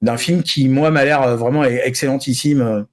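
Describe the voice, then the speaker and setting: A man's voice: medium pitch, fairly even intonation, normal speed. A man chatting casually as a panelist on a talk show. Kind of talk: casual banter.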